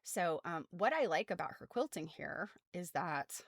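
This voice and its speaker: A woman's voice: lowish voice, expressive, unhurried, breathy edge, dry recording, studio quality. An American woman hosting a casual craft livestream, explaining things in an informal, rambling way full of trailing asides. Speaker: host of a craft show. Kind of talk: casual livestream chat about quilts.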